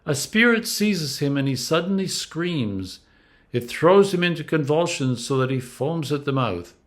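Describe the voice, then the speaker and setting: A man's voice: on the high side, animated, a little slow, pitched above the performer's own voice. A man voicing a desperate father from a Bible story, imploring urgently. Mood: desperate pleading. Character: desperate pleading father in a crowd.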